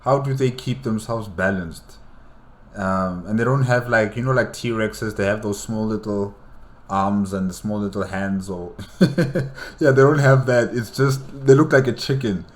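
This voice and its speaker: Low-voiced man thinking aloud. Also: unhurried. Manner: curious, thinking aloud with fillers. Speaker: reaction channel host. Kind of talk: casual reaction commentary.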